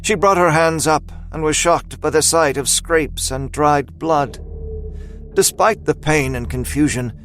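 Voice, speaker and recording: A man with a fairly high voice narrates a scripted drama. His phrasing is deliberate and literary, and faint music plays behind him. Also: a bit bright.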